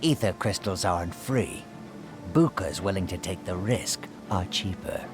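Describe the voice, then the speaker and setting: An actor playing a cranky, arrogant old gnome-like inventor in a fantasy video game, barking with impatient scorn. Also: male voice, low-pitched, expressive, unhurried. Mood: callous. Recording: clear music underneath.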